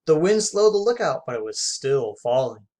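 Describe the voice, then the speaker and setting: Casting: children's storybook narrator, medium pitch, expressive and emphatic read-aloud delivery. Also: normal speed. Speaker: story reader.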